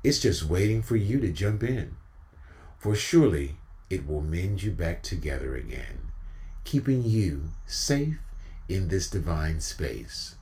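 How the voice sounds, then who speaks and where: A man's voice: deep voice, animated, unhurried, a little mellow. A man speaking as an inspirational spoken-word storyteller, earnest, spiritual and encouraging in his delivery. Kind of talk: reflective inspirational talk and spoken-word poetry.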